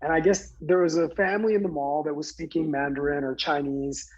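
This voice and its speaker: A man from New Jersey earnestly recounting a personal story in conversation, halting, with many fillers and restarts. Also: on the high side, steady tone, muffled recording. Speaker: guest speaker.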